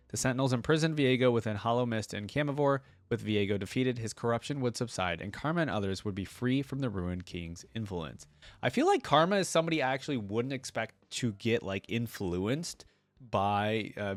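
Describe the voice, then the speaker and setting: A man with an average-pitched voice hosts a fan reaction, moving between reading lore aloud and casual commentary. His delivery is conversational and a little tentative in the asides. The sound is dry, with very little room to it. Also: dry recording. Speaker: host of a fan reaction channel.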